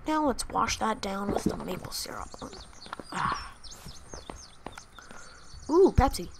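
Chatty woman with a gravelly voice. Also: mid-range voice, sing-song, slow, noisy background. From Canada. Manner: chatty, thinking aloud to viewers. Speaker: gaming channel host. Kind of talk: casual gameplay commentary. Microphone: new desktop microphone.

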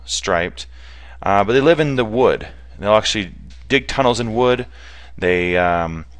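A man's voice: on the low side, a bit bright, clean tone. A man giving an informal, unscripted explanatory presentation in a conversational, plain-spoken way, as a hands-on specialist presenter. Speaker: bee removal specialist presenter.

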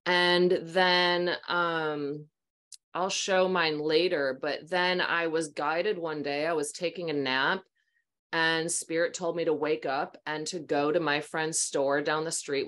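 A woman's voice: low-pitched, measured pace. Chatty woman telling stories at home. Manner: animated, chatty, acts out voices in her stories. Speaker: host, sound healing practitioner. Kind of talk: casual storytelling on a video call. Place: small room at home, indoors. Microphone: video call webcam mic.

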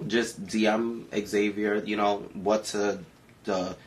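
A man's voice: on the low side, little pitch movement, takes it slowly, low-quality recording. A young man in his twenties, casually chatting on a home livestream in a brash, profane, campy way. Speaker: livestream co-host. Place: living room at home.

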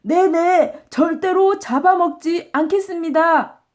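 A woman's voice: very high-pitched, takes it slowly, pitched above the performer's own voice. A woman voicing a sly, ungrateful male tiger in a children's storybook, switching between false politeness and bullying. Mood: polite pleading.

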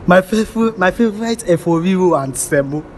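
A man's voice: sits high, expressive, fairly quick, darkish tone, a little hiss or hum. Young man playing a comic Nigerian husband. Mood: pleased. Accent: Nigerian English. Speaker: stage actor. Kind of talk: live stage drama. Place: theatre stage, large hall.

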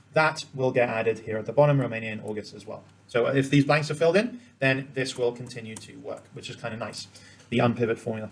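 A man presents an informal software tutorial in a medium-pitched voice. He talks at a brisk clip, walking through each step as he demonstrates it on screen. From Cambodia. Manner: explains step by step while demonstrating.

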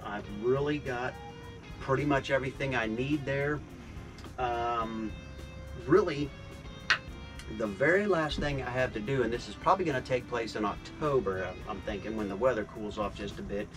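An older man giving a casual vlog update from a small boat cabin. He explains things in a relaxed, upbeat way, with plenty of fillers, to a camera a few feet away. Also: medium pitch. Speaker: sailing vlogger. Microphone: camera on a tripod a few feet away.

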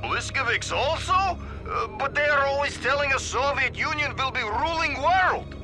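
An actor playing a gullible, vodka-loving Soviet cosmonaut with a Russian accent, speaking theatrical broken English. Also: male voice, very high-pitched, normal speed, music in the background. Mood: indignant disbelief. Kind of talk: comic video game cutscene acting.

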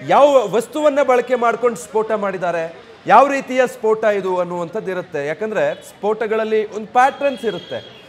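A man's voice: high-pitched, lively intonation, moderate pace. A man anchoring live breaking news, speaking dramatically and posing rhetorical questions. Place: TV news studio.

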